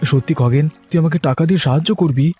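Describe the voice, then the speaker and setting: A man's voice: on the high side, fast, mellow, soft background music, close to an everyday voice. A man voicing an earnest, trusting and poor village fish farmer in a cartoon folk tale. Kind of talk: cartoon fairy-tale dialogue.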